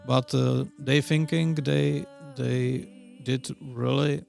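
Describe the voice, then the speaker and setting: A man's voice: mid-range voice, steady tone, takes it slowly, creaky, darkish tone, soft background music. A middle-aged man with a Czech accent, a podcast guest talking in casual interview chat, hesitant and full of fillers and broken phrasing.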